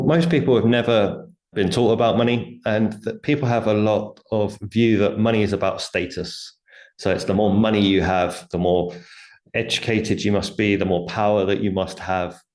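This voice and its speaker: A man with a low-pitched voice talks as an interview guest, laying out his reasoning step by step in conversational speech. He slips into imagined quotes as he explains. The room echoes noticeably around his voice. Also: darkish tone. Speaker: interview guest, writer and speaker on money. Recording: roomy, echoing sound.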